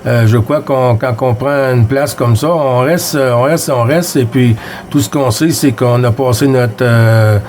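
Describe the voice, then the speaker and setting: A man from Quebec with a Québécois French accent talks on the CB radio. His voice sits at a middle pitch. He is friendly and easygoing, and he reads out call signs and signal reports between bits of casual chat. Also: a little slow, a little mellow, slight background noise. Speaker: CB radio operator.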